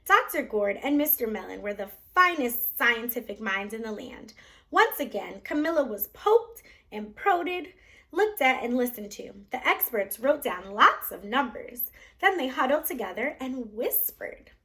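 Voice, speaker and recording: A woman with a high voice reads a children's storybook aloud. Her pitch swings in a lively way, and she leans on dramatic words to bring the story to young listeners. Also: unhurried. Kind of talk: expressive scripted storybook narration.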